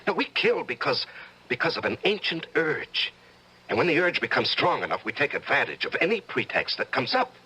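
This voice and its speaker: An actor playing a patronizing, coaxing middle-aged judge who hides murderous urges, in old-time radio drama style. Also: male voice, a little high, very animated, a little fast, bright, forceful tone, husky, exaggerated voice, rough recording. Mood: coaxing, persuasive.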